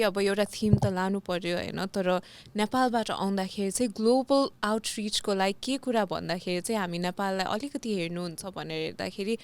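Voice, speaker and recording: Fast-talking Nepali woman guest. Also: mid-range voice. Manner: animated, earnest and proud. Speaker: podcast guest, pageant titleholder. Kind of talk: casual interview chat mixing languages.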